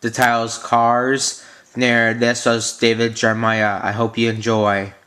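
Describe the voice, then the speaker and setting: A man's voice: mid-range voice, completely flat delivery, normal speed, pushed and bright, slight background noise. A man announcing a short introduction to a storybook episode. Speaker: video announcer.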